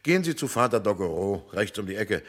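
An actor playing a mocking, brisk book dealer in a radio drama, sardonic and dismissive. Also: male voice, lowish voice, big pitch swings.

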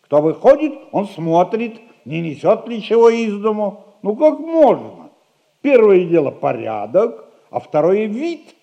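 Wily servant, high and swooping. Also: male voice, sing-song, unhurried, creaky, exaggerated voice. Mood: self-important. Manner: folksy, pompous protesting. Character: wily male household servant, self-important, aggrieved, wheedling. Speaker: actor in a stage comedy. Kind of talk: theatrical audio play acting. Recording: dull vintage theatre recording.

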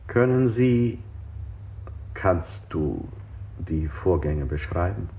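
Interrogator, falsely considerate, dark low voice, repetitive coaxing, 1960s radio drama with faint noise. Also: male voice, lively intonation, drawn-out words, gravelly, full character voice, poor audio. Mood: probing. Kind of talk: 1960s German avant-garde radio play.

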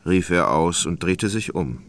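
A man's voice: deep voice, expressive, unhurried. A man narrating a literary radio play from a script, as the storyteller between the dialogue.

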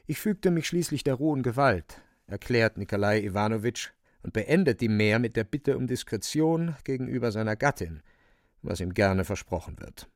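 A man narrating a literary radio drama in a measured, precise storytelling manner, close on a studio microphone. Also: mid-range voice, lively intonation, no echo.

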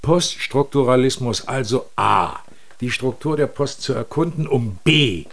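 An actor playing a brusque, earnest secret police superior in a radio drama, speaking like an officious bureaucrat. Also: male voice, medium pitch, animated, a little slow.

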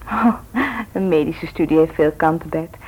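An actress playing a caring, frank young medical student in a radio drama, earnest and slightly hesitant. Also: female voice, low voice, normal speed, a little hiss or hum, close to an everyday voice. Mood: earnest, sympathetic. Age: young adult. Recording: thin, phone-like old radio recording.

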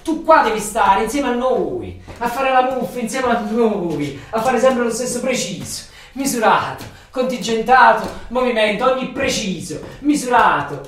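A man's voice: very high-pitched, fairly bright tone. A man with a Neapolitan accent playing an embittered, rambling factory worker in a theatrical monologue, colloquial and repetitive. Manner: colloquial, repetitive, ranting and muttering. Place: echoey room or hall, far from the mic. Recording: echoey, distant stage recording with faint noise.

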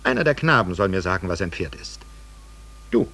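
A man's voice: low voice, expressive, brisk, pushed and bright, exaggerated voice. A man playing a stern, pompous, fact-obsessed schoolmaster in a radio play, theatrical and emphatic in delivery. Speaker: narrator reading a literary excerpt. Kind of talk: dramatic literary reading in a radio play.

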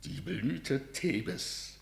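An actor playing a grim messenger of defeat in a radio drama, declaiming solemn stage verse with a rhetorical manner. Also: male voice, mid-range voice, very animated, creaky, reverberant, full character voice. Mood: grief-stricken, subdued. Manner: solemn, rhetorical, measured delivery. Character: grim male battle messenger bearing news of catastrophic defeat.

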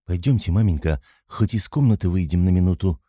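A man voicing a proud, quick-tempered young woman in an audiobook, a concerned sister who is earnest and holds herself in check. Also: low voice, mellow, slightly rough, no echo, studio quality. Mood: frightened. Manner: earnest, self-controlled.

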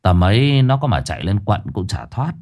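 A man voicing a stern, arrogant exorcist master who talks in a gruff, crude, commanding way. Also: on the low side, big pitch swings, fairly quick, darkish tone, heavily acted.

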